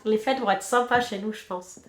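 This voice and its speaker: A woman's voice: mid-range voice, moderate pace, roomy sound. A woman in her thirties with a stuffy nose from a cold, chatting casually and self-mockingly as she knits at home. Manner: chatty and self-mocking, trails off counting stitches. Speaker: knitting podcast host. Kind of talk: casual chat while knitting.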